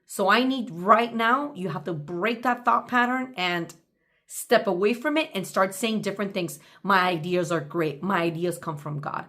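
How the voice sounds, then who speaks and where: A woman's voice: mid-range voice, pushed and bright. Woman giving an emphatic motivational talk. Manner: emphatic, deliberate, speaks directly to the listener. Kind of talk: motivational talk with reading from own journal.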